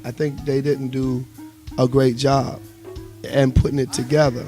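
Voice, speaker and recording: A man's voice: mid-range voice, level tone, a little slow, mellow, soft background music. A man with a Washington, D.C. accent talking casually as an interview guest, telling things in colorful slang and everyday phrasing. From United States.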